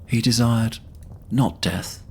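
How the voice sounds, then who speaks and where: A man's voice: mid-range voice, takes it slowly, creaky, warm tone, husky. A man narrating a scripted fantasy audio drama in a solemn storytelling manner.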